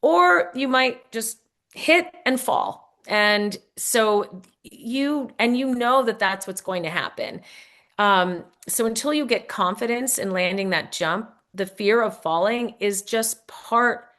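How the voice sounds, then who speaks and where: A woman's voice: fairly high pitch, slow pace, a bit bright. A woman author speaking as an interview guest, explaining in a casual, rambling way with self-corrections.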